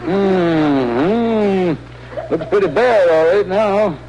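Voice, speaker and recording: A man's voice: high voice, animated, drawn-out words, exaggerated voice. A man acting a sly, bluffing, folksy husband and lodge leader in an old radio comedy, talking in a hesitant, stalling way. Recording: noisy old radio broadcast recording.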